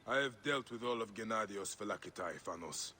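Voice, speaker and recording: A man's voice: lowish voice, animated, fairly quick, bright, forceful tone. An actor playing a stern, weary male warrior in a video game, blunt and grave, with echoey game audio.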